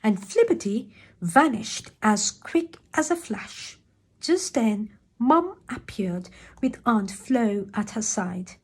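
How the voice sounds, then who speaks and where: A woman reading a children's picture book aloud in an expressive, animated storytelling manner. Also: fairly high pitch, slow pace, slightly rough.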